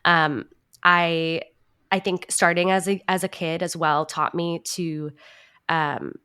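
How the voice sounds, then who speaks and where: A woman's voice: fairly low pitch, steady tone, slow, sharp tone, clear, resonant tone. A woman answering interview questions conversationally, in a reflective and earnest manner. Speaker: podcast guest, actor and writer.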